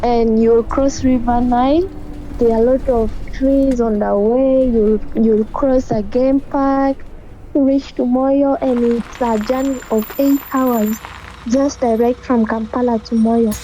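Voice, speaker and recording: A woman's voice: fairly high pitch, fairly even intonation, slow, dark tone, a little hiss or hum, low-quality recording. A woman with a Ugandan accent giving an unscripted interview account as a group member.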